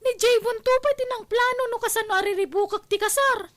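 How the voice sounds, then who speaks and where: A woman's voice: very high voice, steady tone, fairly quick, a bit bright. A woman playing a spurned, scheming young ex-lover in a radio drama, taunting and confrontational. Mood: defiant, taunting. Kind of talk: Ilocano radio drama acting.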